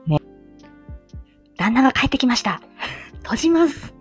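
A woman's voice: high-pitched, very animated, a little slow, faint music behind. A woman hosting a casual audio live stream, chatty and self-deprecating in a giggly way. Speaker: host of an audio live stream, amateur singer.

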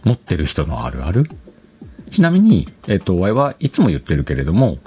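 A man's voice: low voice, huge pitch swings, brisk, warm tone, breathy edge. A man hosting a casual solo podcast, chatting colloquially, rambling and correcting himself, on a dedicated microphone. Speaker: podcast host.

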